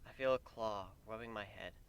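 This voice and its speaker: A man's voice: on the low side, moderate pace, slight background noise. A man reading first-person fan fiction aloud as dramatic scripted narration. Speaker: amateur story reader.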